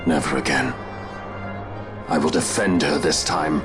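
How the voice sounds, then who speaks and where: Very deep loyal knight, solemn and steady, music under the voice. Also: male voice, measured pace. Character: loyal stoic middle-aged male knight. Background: game soundtrack music.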